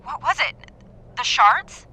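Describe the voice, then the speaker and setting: A woman's voice: high voice, expressive, slow pace, sharp tone, breathy edge, exaggerated voice. An actress playing an anxious, insistent woman medical researcher in an apocalyptic audio drama, speaking with urgent emotion. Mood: alarmed.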